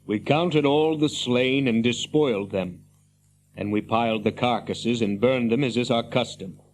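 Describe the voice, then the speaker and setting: An actor playing a stern, wary captain of horsemen in a fantasy radio drama, speaking formally and with measure. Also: male voice, medium pitch, measured pace, slight background noise, natural voice. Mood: wary, solemn. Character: stern, wary horse-lord captain, loyal to his king.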